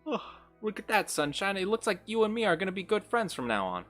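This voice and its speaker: A man's voice: sits high, big pitch swings, brisk, a bit bright, soft background music, pitched above the performer's own voice. A man voicing a vulgar, bloodthirsty shade spirit with a pushy, taunting manner and a Brooklyn accent, as in a storybook. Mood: goading. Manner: pushy, cackling, wheedling.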